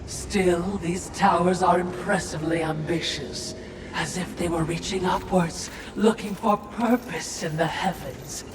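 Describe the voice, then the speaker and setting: A woman's voice: fairly low pitch, unhurried, recorded from a distance, background noise, close to an everyday voice. An actress playing a sly, mocking female spirit servant in video game dialogue, sardonic and insinuating, with mock deference. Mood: musing, ominous.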